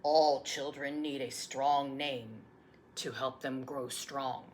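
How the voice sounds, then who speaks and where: A woman voicing a gentle old grandfather who tells a tale slowly, gravely and softly in a storybook read-aloud. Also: rumbling low, steady tone, a little hiss or hum, pitched below the performer's own voice. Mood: gentle, solemn. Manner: slow, grave, hushed storytelling.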